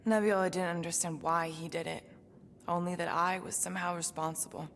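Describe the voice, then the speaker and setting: A weary, wistful female assassin from space in a cinematic video game. Her voice sits low with a gravelly fry, guarded and reflective, pausing as she goes. Faint background noise sits behind her. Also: flat delivery, fairly bright tone. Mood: sorrowful, vulnerable.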